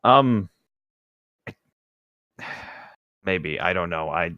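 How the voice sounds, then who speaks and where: A man's voice: deep voice, lively intonation, extremely slow, no room sound, muffled recording. A man co-hosting a comedic read-along, reading a book aloud and breaking off into casual joking commentary.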